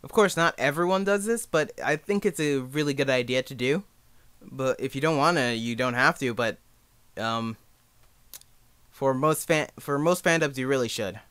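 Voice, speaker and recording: A man's voice: medium pitch, moderate pace, a bit bright, smooth, no room sound. A young man giving a casual, informal tutorial as an amateur, talking in a self-deprecating way. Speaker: amateur fan dub creator giving a tutorial.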